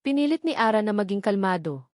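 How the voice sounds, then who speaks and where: A woman's voice: mid-range voice, animated, fast, darkish tone, no room sound, studio quality. A woman storyteller delivering a scripted, dramatic narration.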